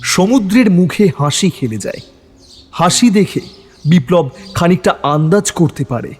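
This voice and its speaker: A man's voice: high voice, animated, unhurried. A man reading scripted dramatic narration for an audio horror story. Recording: long reverb on the voice.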